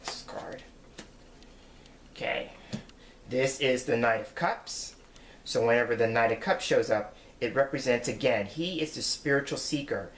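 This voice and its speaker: Man, forties, tarot reader, earnest and reflective, mid pitch, echoey room. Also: measured pace, pauses often. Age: 40s. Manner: earnest, reflective, explaining patiently. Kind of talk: weekly tarot forecast, explaining cards. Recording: roomy echo.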